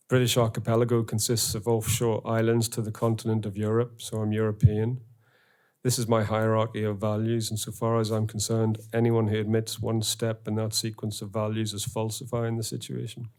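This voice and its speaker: A middle-aged man with a Northern Irish accent giving an academic lecture in a university auditorium, reading poems aloud in a measured way. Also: lowish voice, a little mellow. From Northern Ireland. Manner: measured, reads quotations slowly.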